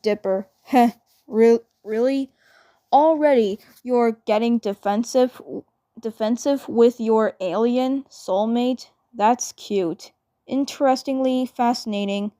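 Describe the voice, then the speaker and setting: Woman, high voice, slow pace, stammering restarts, repeated phrases, fan-dub roleplay. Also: mellow, no echo, studio quality.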